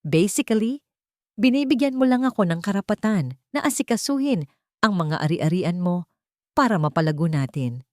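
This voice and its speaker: A woman's voice: mid-range voice, very animated, normal speed, darkish tone, no echo, studio quality, natural voice. A woman voicing a scheming husband, falsely sweet and condescending, with impatience underneath, in a dramatic story. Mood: forced patience. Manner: coaxing, condescending.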